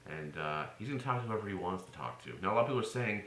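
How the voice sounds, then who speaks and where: A man's voice: on the low side, expressive, racing, fairly bright tone. An American man giving an informal political commentary, opinionated and sarcastic in his delivery. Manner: opinionated, sarcastic, swings into mocking exclamations. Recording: roomy echo with faint noise.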